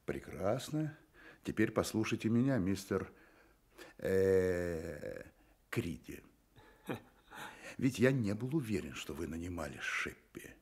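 Radio drama casting: wry, defiant detective, low husky voice, very lively delivery. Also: male voice, slow, long pauses, exaggerated voice. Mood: defiant, sardonic. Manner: sardonic, challenging. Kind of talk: radio play acting.

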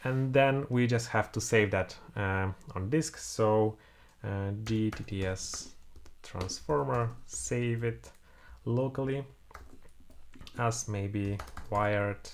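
Tutor: man, 30s, Polish accent, low gravelly voice, walks through code with frequent "uh"s. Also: slow. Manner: thinks aloud while typing, many "uh" fillers. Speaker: programming tutor. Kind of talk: screen-recorded coding tutorial. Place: home room, indoors.

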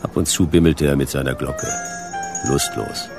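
A man playing a laconic, sceptical hard-boiled detective in a radio play, speaking in terse, deadpan fragments. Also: a bass voice, moderate pace, gravelly, music in the background. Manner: terse, deadpan, clipped sentences. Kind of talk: noir radio play narration and dialogue.

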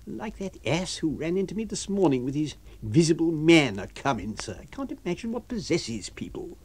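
Guy doing a snooty annoyed doctor for an audiobook, voice pitched up, educated and scornful. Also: big pitch swings, moderate pace, darkish tone, slightly rough. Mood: scornful amusement. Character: scornful, irritated gentleman doctor. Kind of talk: audiobook character voice.

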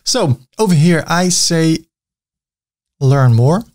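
A man's voice: a little high, lively intonation, slow, a little mellow. Dutch-accented man teaching web design. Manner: chatty, self-mocking asides. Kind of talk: screen-recorded software tutorial.

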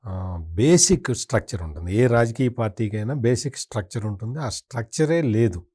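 A man gives political commentary in an interview. His mid-pitched voice carries firm opinions, and he repeats points for emphasis as he criticises. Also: expressive, fairly quick, warm tone, slightly rough.

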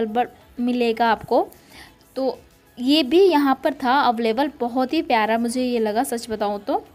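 A woman's voice: sits high, a little mellow. A woman narrating a shopping vlog casually, gushing with repeated praise. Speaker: shopping vlogger.

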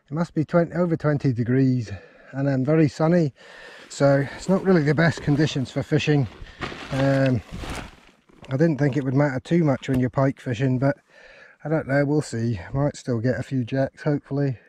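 A man's voice: mid-range voice, steady tone, moderate pace, dark tone. A man chatting casually and in an understated way outdoors by a river, as an angler presenting his fishing diary. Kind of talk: casual outdoor vlog chat.

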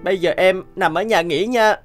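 A man's voice: high-pitched, moderate pace, soft background music, lightly acted. A man voicing a caring, hardworking orphan boy in a cartoon, an earnest and tender big brother. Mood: tender, coaxing.

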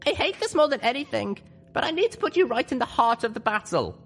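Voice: mid-range voice, very animated, quick pace, a bit bright, breathy edge, voice pushed up, heavily acted. A narrator voicing an earnest, determined young female pony leader, speaking solemnly and urgently in a story reading. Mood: solemn, commanding. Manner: solemn, urgent speech-making.

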